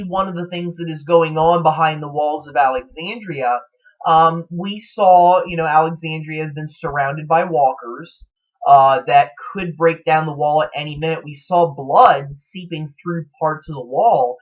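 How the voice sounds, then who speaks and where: A man giving casual, speculative commentary as a vlog host, in a hesitant, rambling manner. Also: a little high. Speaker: host of a TV show theory vlog. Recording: dull, lo-fi recording.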